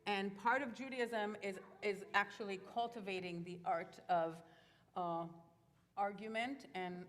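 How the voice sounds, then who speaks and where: A woman from Israel, a Hebrew speaker, explaining things to a youth group in a chatty, informal way with plenty of fillers. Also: medium pitch. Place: synagogue sanctuary. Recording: echoey hall.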